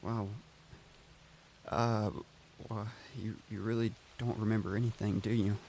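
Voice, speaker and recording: A man playing a gentle, anxious man in his late twenties in an audio drama, speaking in an awkward, halting, earnest way. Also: on the low side, level tone, a little hiss or hum. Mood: upset, pleading.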